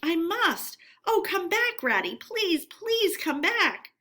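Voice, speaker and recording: A woman's voice: extremely high pitch, big pitch swings, slow pace, sharp tone, pitched above the performer's own voice, heavily acted. A woman voicing an anxious, homesick little male mole from a storybook, imploring and emphatic. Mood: anguished pleading. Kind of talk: storybook character voice.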